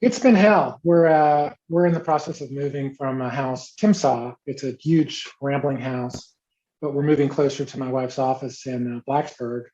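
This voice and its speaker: A man's voice: mid-range voice, steady tone, moderate pace, warm tone. A man in a mid-range voice speaks as a guest on a video call, wry and dry-humored. His audio is dull and lo-fi. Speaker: guest, magazine editor. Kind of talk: casual video call chat.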